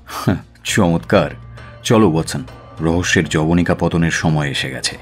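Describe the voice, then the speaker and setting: An actor playing a shrewd, composed detective in a radio drama, speaking in a measured, deliberate and commanding way. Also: male voice, low-pitched, faint music behind. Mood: confident, satisfied. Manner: measured, deliberate, with drawn-out hesitations.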